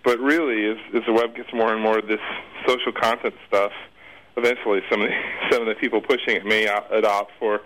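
Bright-voiced, low-pitched man, informal expert. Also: level tone, a little fast, low-quality recording. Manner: informal, circling explanations with repeated "you know". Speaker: interview guest, internet marketing expert. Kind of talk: conversational interview answers.

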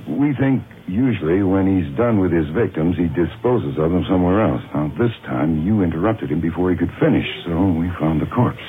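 Grave cop with a really low voice, urgent and halting, noisy lo-fi radio drama sound. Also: male voice, expressive. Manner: halting, insistent, pleading. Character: earnest male police officer, grave and urgent, protective. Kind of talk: radio horror drama acting. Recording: lo-fi old radio broadcast with noise.

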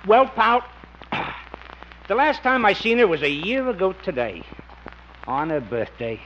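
An actor playing an affable, wheedling, sentimental small-time burglar in 1950s radio comedy, speaking in casual, folksy slang. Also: male voice, high-pitched, sing-song, measured pace, fairly bright tone, exaggerated voice. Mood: wistful. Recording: muffled, faintly noisy old radio recording.

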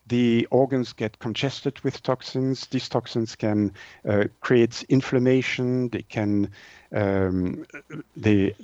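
Halting man, slightly muffled sound. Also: medium pitch, darkish tone, no room sound. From Switzerland. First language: French. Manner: halting, hesitant delivery with word searching. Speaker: naturopath, interview guest. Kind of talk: explanatory interview answers.

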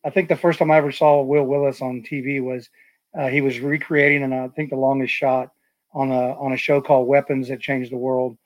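A man's voice: medium pitch, level tone, a little fast, no echo, rough recording. Chatty male host, dry close sound. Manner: rambling, many fillers and restarts. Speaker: podcast host. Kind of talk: casual interview chat.

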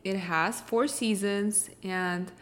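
A woman's voice: medium pitch, fairly even intonation, takes it slowly. A woman from Ukraine, whose first language is Russian, speaking in a Russian accent as she hosts a casual solo question-and-answer podcast in a chatty, upbeat way. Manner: chatty, upbeat, simple sentences. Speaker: podcast host.

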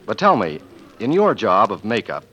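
A polished, courteous man delivering scripted announcements and sponsor pitches in 1940s radio style. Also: huge pitch swings, normal speed, fairly bright tone, breathy edge. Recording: muffled old 1940s radio broadcast.

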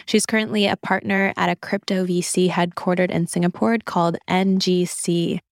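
A woman's voice: on the low side, fairly even intonation, moderate pace, dry recording. A woman hosting a podcast, giving upbeat, scripted introductions and questions from a virtual studio. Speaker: podcast host.

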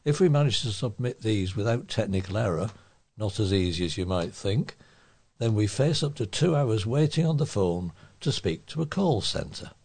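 Man, slightly low pitch, husky tone, reading local news articles aloud, volunteer reader. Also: lively intonation, normal speed, a little mellow.

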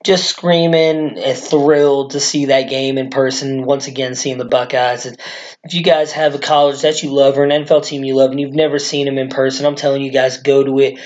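A man with a hoarse, worn-out voice hosting a casual sports podcast, rambling excitedly as a fan. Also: medium pitch, normal speed, lo-fi sound. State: hoarse from screaming. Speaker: podcast host.

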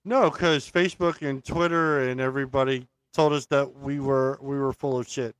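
A man's voice: medium pitch, fairly even intonation, normal speed, smooth, dry recording, low-quality recording. A man co-hosting a casual political podcast, cutting in with indignant, profane remarks. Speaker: podcast co-host.

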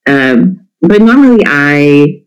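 A man's voice: on the high side, big pitch swings, slow pace, dull audio. A middle-aged man, chatting casually as an interview guest in a candid, irreverent, self-deprecating way. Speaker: interview guest, homeschooling parent. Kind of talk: casual chat.

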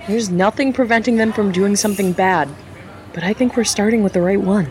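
A woman's voice: medium pitch, a little fast, lightly acted. A woman playing an eager young facilitator in an audio drama, earnest and a bit nervous.

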